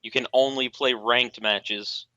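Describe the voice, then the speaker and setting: A man's voice: mid-range voice, fairly even intonation, slow, bright, forceful tone, dry recording, dull audio. A man chatting bluntly alongside a game player, picking at details and teasing him. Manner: blunt, needling, critical. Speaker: co-commentator. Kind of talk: casual gameplay banter. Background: game music.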